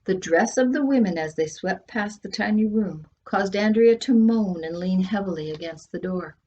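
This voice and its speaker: Female Canadian storyteller, mid-pitched and a bit raspy, expressive read-aloud with dramatic stresses, dull lo-fi sound. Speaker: children's author reading from own book.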